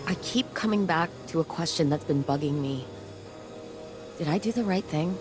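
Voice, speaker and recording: A troubled female scientist played by an actress reflects in a low, sincere voice over clear background music. She sounds weary and burdened by guilt, as in a dramatic game monologue. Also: moderate pace, lightly acted. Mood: troubled, regretful. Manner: reflective, hesitant, earnest.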